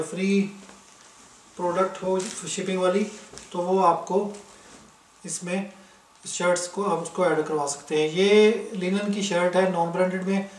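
A man from Pakistan showing clothes for sale, listing prices in a brisk, matter-of-fact way. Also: high voice, recorded from a distance, slight background noise. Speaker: online clothing seller. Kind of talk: casual product showcase. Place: indoors, filming fabrics on the floor. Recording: echoey room, somewhat off-mic.

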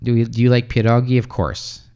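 A man in his thirties, raised in the United States, hosts a relaxed English-practice livestream from a small room at home. His voice is smooth and clear at a middling pitch. He chats loosely into a close boom mic and answers viewers' questions with plenty of fillers. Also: brisk. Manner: relaxed and chatty, many fillers, answers comments offhand. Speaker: English teacher hosting a livestream. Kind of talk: casual livestream chat reading viewer comments. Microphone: studio mic on boom arm close to mouth.

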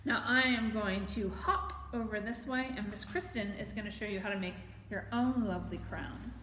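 A woman's voice: mid-range voice, normal speed, a bit bright. An American woman reading a children's story aloud in storytime style, as a librarian would. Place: library room with bookshelves. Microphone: camera a few meters away. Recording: echoey room, distant camera, faint noise.

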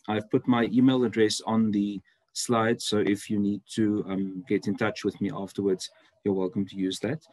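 A man in his thirties from South Africa, first language Afrikaans, speaks with a fairly low voice. He talks friendly and chatty, filling pauses with ums. The sound is dry and close, from a laptop on a video call. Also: dry recording. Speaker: university lecturer presenting. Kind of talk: online seminar presentation. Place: indoor room, video call.

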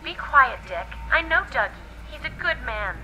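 An actress playing a defiant femme fatale, curt and scolding, in a film noir radio drama. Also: female voice, sits high, big pitch swings, moderate pace, bright, forceful tone, slight background noise, exaggerated voice, rough recording. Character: defiant blonde femme fatale, detective's old flame.

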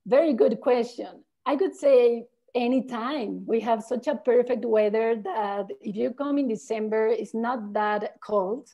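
A Mexican-accented woman guides listeners through her home region over a video call. Her voice sits a little high but sounds mellow and soft. She explains patiently and finishes phrases with a questioning "no?". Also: steady tone, measured pace, hardly any pauses, lo-fi sound. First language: Spanish. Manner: friendly, explanatory, tags phrases with "no?". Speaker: local tour guide. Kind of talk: answering questions on a virtual tour.

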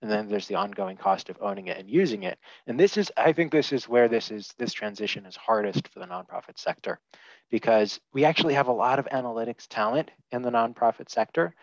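A man's voice: mid-range voice, animated, a little fast, no echo. A man on a headset in a small room, giving candid, opinionated views as a panelist in a webinar, with many fillers and restarts. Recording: dull lo-fi video call audio.

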